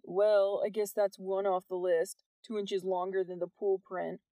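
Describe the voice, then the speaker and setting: A woman's voice: mid-range voice, flat delivery, measured pace, mellow, no room sound, close to an everyday voice. A woman narrator gives voice to a fifteen-year-old boy in an audiobook novel. He is laid-back and nonchalant. His delivery is casual, like everyday talk among classmates. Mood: grumbling.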